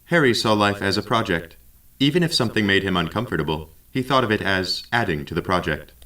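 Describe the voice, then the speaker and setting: Low-voiced male actor as a wry ship's AI, measured and deadpan, slightly distant, sci-fi audio drama. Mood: calm, reflective. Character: dry, wry male-voiced station computer narrating its memories. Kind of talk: scripted audio drama dialogue and narration.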